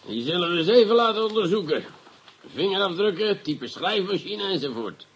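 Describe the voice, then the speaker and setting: A jovial, sardonic police commissioner in a radio drama. His somewhat high-pitched voice gives colloquial orders in a bossy manner, over faint noise. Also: big pitch swings, moderate pace, a bit bright, highs cut off.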